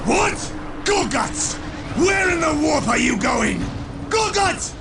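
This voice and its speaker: An actor playing a bloodthirsty, arrogant warlord in a video game, with a bombastic, menacing delivery. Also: male voice, very high-pitched, big pitch swings, normal speed, gravelly, raised voice, over loud music, full character voice. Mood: furious. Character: bloodthirsty, arrogant male Chaos warlord, warrior fanatic. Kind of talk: theatrical video game voice acting.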